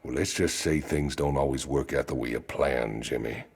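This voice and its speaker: A man playing a huge, world-weary outlaw convict in a video game, gruff and cynical with a gravelly drawl. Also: very deep voice, normal speed, full character voice. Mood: weary, resentful. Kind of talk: video game cutscene acting. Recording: reverberant hall with music underneath.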